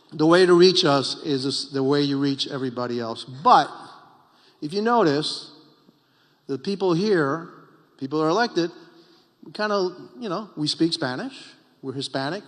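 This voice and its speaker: A man's voice: a little high, animated, moderate pace, pauses often, fairly bright tone, big-room sound. A middle-aged Cuban-born man, a congressman and former mayor, giving emphatic, repetitive panel remarks at a political retreat. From Cuba.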